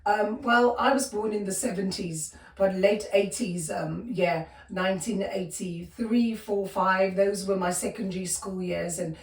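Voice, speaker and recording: A South African woman in her fifties, a podcast guest telling her life story with many pauses, fillers and restarts. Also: medium pitch, steady tone, echoey room, far from the mic, low-quality recording. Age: 50s. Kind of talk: personal storytelling interview.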